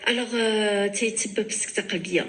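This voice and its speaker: A woman's voice: mid-range voice, fairly even intonation, fairly bright tone, faint music behind. A woman doctor with a Moroccan accent, answering callers on a radio advice show, mixing in French and restarting often. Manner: fast Darija mixed with French, many restarts.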